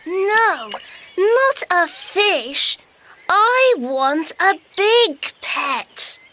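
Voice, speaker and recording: A woman voicing an insistent, whiny small child in slow, emphatic phrases for a children's storybook. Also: extremely high pitch, sing-song, sharp tone, a little hiss or hum, full character voice. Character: insistent small child who wants a big pet.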